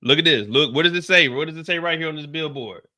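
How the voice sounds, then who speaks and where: A man's voice: fairly high pitch, quick pace, sharp tone. A man giving casual, slangy reaction commentary on a TV episode. He talks to the screen with a mild stutter. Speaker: reaction video host.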